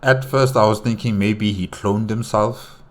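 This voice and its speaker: A man's voice: on the low side, steady tone, unhurried, faint music behind. A man reacting off the cuff in casual commentary, repeating phrases for emphasis. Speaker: reaction video host.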